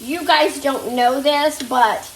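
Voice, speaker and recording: High-voiced chatty woman at the stove. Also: takes it slowly, a little hiss or hum. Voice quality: slightly nasal. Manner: chatty, talks to viewers as "guys" while working at the stove. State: recovering from a fever. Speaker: cooking vlogger. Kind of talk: casual chat while cooking. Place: home kitchen. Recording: echoey kitchen, mic a bit far off. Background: sizzling meat in a skillet.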